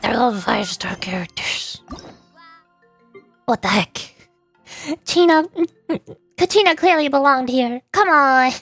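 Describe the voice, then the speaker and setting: High-pitched woman streamer, rambling and playful. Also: lively intonation, a bit bright, no echo. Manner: rambling, playfully exasperated, halting with repeated words. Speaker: streamer, VTuber. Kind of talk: casual livestream chat about a video game. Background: background music.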